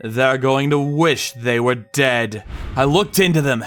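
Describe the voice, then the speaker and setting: A man giving grim, deliberate first-person dramatic narration for a manga dub, in scripted style. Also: mid-range voice, fairly bright tone. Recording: music behind the voice. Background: background music.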